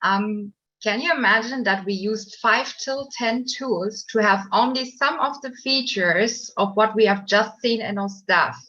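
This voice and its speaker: A woman's voice: medium pitch, sharp tone, lo-fi sound. A woman from Germany giving enthusiastic remarks at a live online event, with some hesitant fillers. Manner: enthusiastic, slightly halting. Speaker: event participant giving feedback.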